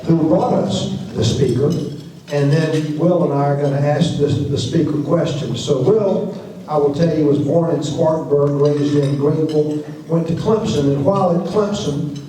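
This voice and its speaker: A man hosts a club lecture in a relaxed, chatty manner, his voice mellow and slightly raised. He sounds distant from the mic in a very echoey hall. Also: a little high. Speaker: lecture series moderator. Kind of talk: introducing and questioning a guest.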